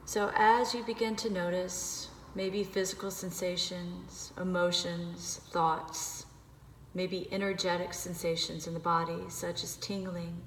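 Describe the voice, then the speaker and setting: A woman with a slightly low voice leads a guided meditation. She gives gentle, unhurried instructions and leaves pauses between phrases. The recording rings with long room reverb over faint noise. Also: level tone.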